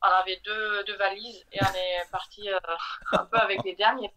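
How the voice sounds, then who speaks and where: A woman's voice: medium pitch, flat delivery, moderate pace, pushed and bright, low-quality recording. A woman guest telling her story in a casual interview, hesitant with many fillers. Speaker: guest, chocolatier.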